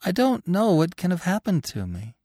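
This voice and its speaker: A man's voice: high-pitched, wildly swooping pitch, moderate pace, darkish tone, no room sound, clean studio sound, voice pushed up, full character voice. A man voicing an injured female hare in a storybook, bewildered yet almost happy. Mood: bewildered, bright.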